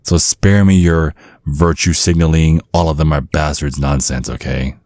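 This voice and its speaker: Man playing a very deep-voiced menacing vampire father. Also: steady tone, normal speed, a little mellow, no room sound, studio quality. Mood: probing, sly. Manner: mock-courteous, insinuating, teasing with veiled threats. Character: centuries-old vampire father, menacing, protective, slyly polite. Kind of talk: ASMR roleplay monologue.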